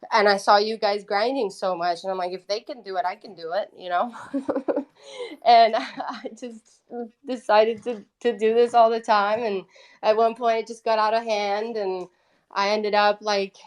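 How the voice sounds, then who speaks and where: A woman's voice: medium pitch, steady tone, a little slow. Woman chatting casually. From Italy. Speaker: guest, independent musician. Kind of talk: casual conversational storytelling.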